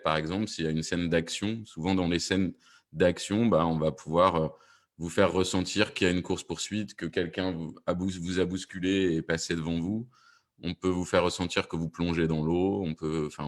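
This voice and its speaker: A man's voice: sits low, steady tone, moderate pace. A man speaking as a podcast producer on a discussion panel, explaining things and often rephrasing as he goes.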